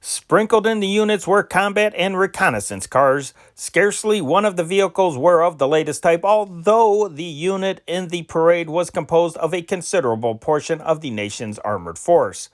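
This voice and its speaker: A man reading old newspaper dispatches aloud as an amateur newsreader, steady and deliberate, with occasional slips he corrects. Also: a little high.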